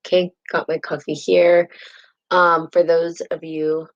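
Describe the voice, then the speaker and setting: A woman's voice: on the low side, little pitch movement, a little slow, muffled recording. A woman hosting a live spiritual talk stream, teaching casually in a rambling way and greeting viewers by name, heard on a built-in device mic. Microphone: built-in device mic instead of her usual mic.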